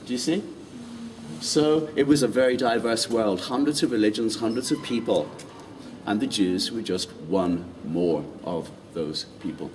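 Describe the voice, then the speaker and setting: Male walking-tour guide, animated and quizzing, distant outdoor recording with traffic noise. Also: lowish voice, a little slow. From Ireland. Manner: lively, quizzes the group with questions. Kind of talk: walking tour talk to a group. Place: outdoors in old city streets. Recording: distant mic, street noise.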